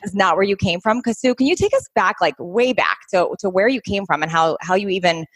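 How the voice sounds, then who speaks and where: A woman's voice: medium pitch, lively intonation, fairly quick, no echo. A woman hosting a podcast, introducing and questioning her guest with enthusiasm. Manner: enthusiastic, upbeat. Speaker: podcast host. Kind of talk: podcast interview.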